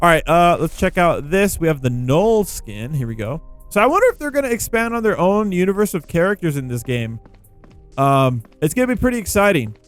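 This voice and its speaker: Higher-pitched man doing casual gameplay commentary. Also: big pitch swings, a little fast, smooth. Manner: informal, thinking out loud. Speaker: gaming video creator. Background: background music.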